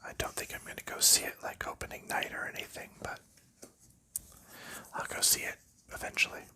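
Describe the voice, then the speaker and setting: A man, host of an ASMR channel, softly whispering in a rambling, casual way while sleeving board game cards. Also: a little fast. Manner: soft rambling whisper with light laughs and fillers.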